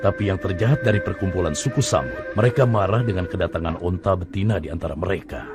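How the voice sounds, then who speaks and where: A man narrating a religious cartoon story in a grave, measured way, with music underneath. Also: sits low.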